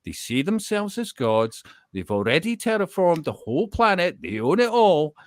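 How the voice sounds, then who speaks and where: A man gives casual, opinionated talk on an online panel. His pitch moves around a lot and the sound is dry. He feels his way through sentences and tosses in rhetorical check-in questions. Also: very animated, normal speed, dry recording. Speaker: panellist in an online discussion hangout.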